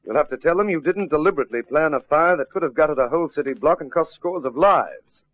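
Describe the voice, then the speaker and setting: An actor playing a confident police detective sergeant in an old radio drama, measured, dry and pressing. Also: male voice, on the high side, no echo, narrow, tinny audio, close to an everyday voice. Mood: dry, firm.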